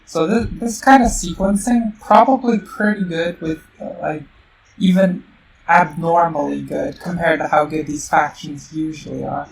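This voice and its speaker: A woman streamer with a sore throat talks slowly and with a gravelly edge while she works through a board game out loud, full of ums and restarts. Her voice comes across a little robotic, and the mic sits back from her in a roomy space with a faint hiss behind. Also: deep voice, fairly even intonation, far from the mic. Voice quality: slightly robotic-sounding voice. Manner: thinks aloud with many fillers and restarts. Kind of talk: casual live-stream game commentary.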